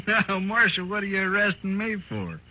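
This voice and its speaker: An actor playing a cocky, taunting renegade gunrunner in a 1950s radio western, mocking and folksy in manner. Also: male voice, high voice. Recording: noisy, muffled old radio broadcast.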